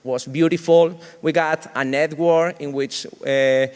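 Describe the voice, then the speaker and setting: A man from Spain with a Spanish accent, whose first language is Spanish, giving a humorous conference talk in a halting, joking way with many restarts. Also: on the high side, fairly even intonation, clean tone. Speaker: conference speaker. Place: large conference hall. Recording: reverberant hall sound.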